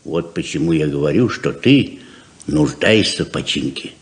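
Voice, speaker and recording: A man's voice: very deep voice, expressive, normal speed. An actor playing a frank, persuasive, hospitable father of grown children in a 1970s radio play, earnest and plain-spoken. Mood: frank, reproachful.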